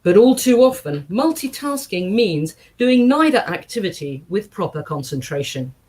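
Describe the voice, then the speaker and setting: A woman reading aloud to a congregation in an expressive style, with measured, deliberate phrasing and emphatic pauses. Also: medium pitch. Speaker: guest reader at a synagogue service. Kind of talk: expressive book reading with short spoken introduction.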